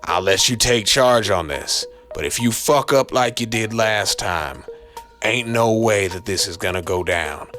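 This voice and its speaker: Guy doing a cranky tired new police chief, low voice, blunt noir cop talk. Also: a little slow, bright, forceful tone, soft background music. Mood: grudging, threatening. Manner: blunt, streetwise, exasperated. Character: gruff, weary, irritable male New York police chief. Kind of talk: noir audio drama dialogue.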